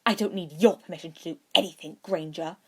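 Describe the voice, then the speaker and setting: A woman's voice: on the low side, steady tone, fairly quick, slightly rough. A woman voicing a snide, haughty schoolboy wizard who complains with snooty emphasis, in a storybook reading.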